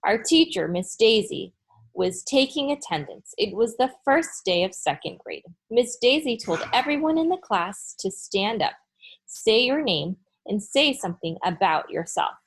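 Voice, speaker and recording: A woman's voice: a little high, sing-song, a little slow, slightly rough, full character voice, rough recording. A woman reading a children's storybook as an opinionated eight-year-old boy who hates school, with emphatic delivery. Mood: emphatic complaint. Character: eight-year-old boy narrator, blunt school-hating second grader. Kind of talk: children's storybook read-aloud.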